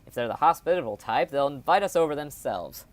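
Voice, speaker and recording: A man's voice: fairly high pitch, lively intonation, a little fast, no room sound, close to an everyday voice. A man playing a dismissive, bossy 1950s husband in an audio drama, offhand and pushy.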